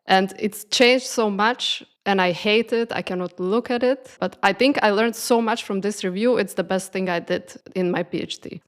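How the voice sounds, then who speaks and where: A woman's voice: mid-range voice, moderate pace, hardly any pauses. Young woman. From Croatia. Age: young adult. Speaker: podcast co-host. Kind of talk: casual conversational podcast talk.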